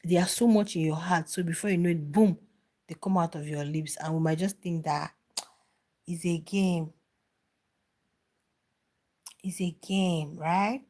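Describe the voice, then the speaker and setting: A woman's voice: on the low side, fairly even intonation, normal speed, mellow. A middle-aged woman talking casually on a live stream about a reality show, answering viewers' comments and repeating phrases for emphasis.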